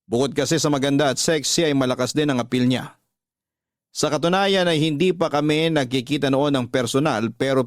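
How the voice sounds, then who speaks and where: A man playing a lustful letter writer confessing an illicit affair, narrating in measured first person for a radio drama. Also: medium pitch, no echo, clean studio sound, lightly acted.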